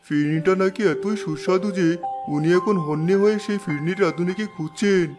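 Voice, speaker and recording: A man's voice: sits high, brisk, over music, lightly acted. A man voicing imperious royal figures in a fairy-tale cartoon, formal and declamatory. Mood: troubled. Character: imperious king and his courtly royal messengers.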